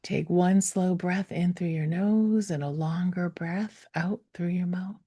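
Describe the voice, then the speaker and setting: A woman leading a guided meditation, speaking gently and without hurry, with soft, breathy phrase endings that fall toward a whisper. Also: on the low side, steady tone. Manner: gentle, unhurried, with long pauses. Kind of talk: guided meditation, slow soothing instruction.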